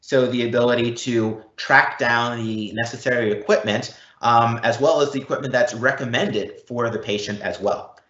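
A man presenting a software demo, explaining it step by step. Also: medium pitch, fairly even intonation, normal speed, hardly any pauses. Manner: explanatory, walking through step by step. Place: indoor room, live event. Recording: dull, roomy live event recording.

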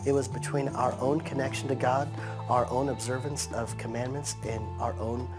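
A man's voice: medium pitch, level tone. Earnest man speaking over background music. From United States. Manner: earnest, measured, slows to stress points. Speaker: interviewee. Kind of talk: earnest sit-down interview. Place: living room set, seated on a couch.

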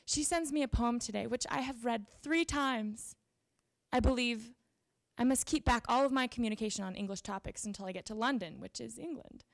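Young woman reading old letters dramatically, kinda high voice, lots of fry, formal and deliberate. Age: young adult. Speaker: reader at a library event. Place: indoor event room with small audience. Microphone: handheld microphone.

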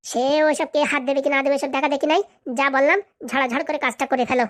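A woman's voice: high voice, steady tone, very fast, fairly bright tone, no room sound. A woman voicing a blunt, scheming, commanding village madam with a rural Bengali accent in a comedy cartoon. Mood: blunt, impatient. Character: bossy scheming village madam hiring a corrupt policeman. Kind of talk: comic cartoon dialogue.

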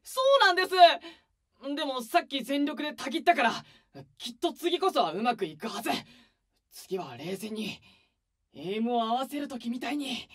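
A man playing an excitable, earnest young male idol in a voice drama, with a boyish, eager delivery. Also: very high voice, moderate pace, heavily acted. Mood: excited. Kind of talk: Japanese voice drama acting.

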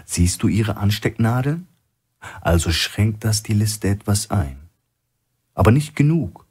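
A man's voice: fairly low pitch, expressive, a little slow, a little mellow, husky. A man playing an alert, level-headed male operative in a thriller audiobook, terse and matter-of-fact. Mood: alert, serious.

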